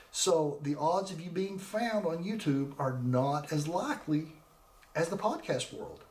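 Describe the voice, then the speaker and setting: A man's voice: on the high side, reverberant. A man in his forties hosting his own podcast, talking casually and rambling with frequent restarts into a desk microphone. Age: 40s. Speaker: podcast host. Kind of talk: casual solo podcast talk. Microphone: desk microphone with laptop.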